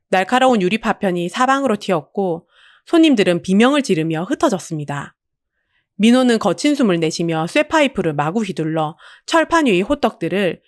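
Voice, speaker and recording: A woman delivers dramatic scripted audiobook narration. Her pitch moves widely through each sentence, and the recording is dry and close, with no room sound. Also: no room sound.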